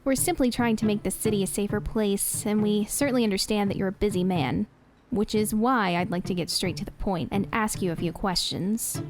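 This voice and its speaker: A woman's voice: mid-range voice, a little fast, close to an everyday voice. Woman playing a poised police detective. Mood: probing. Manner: formal, probing. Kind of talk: audio drama acting.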